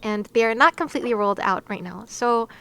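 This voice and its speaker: Playful high-voiced woman streaming a game. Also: a little fast, pushed and bright, faint music behind. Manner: giggly, playful, chatty. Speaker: game livestreamer. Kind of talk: casual livestream chat while gaming, talking to viewers.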